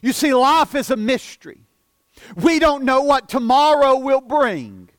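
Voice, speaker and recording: A man of forty preaching a sermon as pastor, earnest, often repeating and stumbling over words, dropping soft for emphasis. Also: very high voice, very animated, unhurried, no echo, clean studio sound. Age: 40s.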